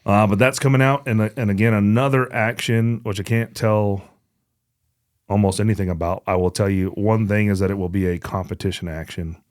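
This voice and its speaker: A man with a low voice hosts a casual gear podcast. He talks bluntly and jokes around with plenty of swearing. The sound is close and dry, with very little room in it. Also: fairly even intonation, fairly quick, a little mellow, no room sound. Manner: profane, blunt, joking. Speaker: podcast host. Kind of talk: casual chat about hunting gear.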